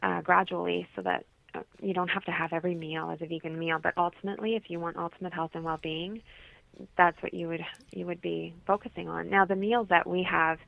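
Female wellness guest on tinny phone line, lowish gravelly voice, explanatory. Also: fairly even intonation, fairly quick, dry recording. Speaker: wellness consultant, radio guest. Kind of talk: explanatory interview talk. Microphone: telephone.

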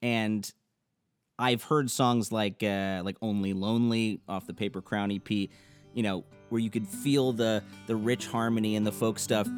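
Male interview host, lower voice, easygoing and musing, soft music underneath. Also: steady tone, unhurried. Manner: thoughtful, loose phrasing with many "you know"s. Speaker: podcast host. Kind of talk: casual conversational interview.

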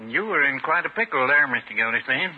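A man's voice: fairly high pitch, animated, fast, a loud crowd, lightly acted. An actor playing a mild, dry, elderly small-town American man, a prim and folksy confidant in a 1950s radio comedy. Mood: dry, interested. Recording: tinny, very noisy old radio recording.

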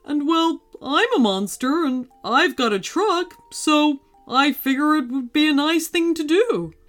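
A furry green storybook monster, voiced by a woman, nervous and eager to apologise. He talks hesitantly and sheepishly, with lively swings of pitch, over clearly audible music. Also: measured pace. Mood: nervous, apologetic.

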